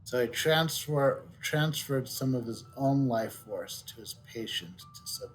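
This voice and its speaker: Slow-talking male game streamer. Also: mid-range voice, animated, soft background music, poor audio. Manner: halting, thinking aloud. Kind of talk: casual gameplay commentary.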